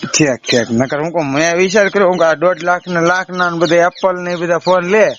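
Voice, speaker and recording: Male, Saurashtra Gujarati accent, high voice, teasing questions, casual phone chat, dull recording. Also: fairly even intonation, brisk, a bit bright. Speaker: prank caller. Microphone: phone call. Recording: dull lo-fi phone call recording, faint noise.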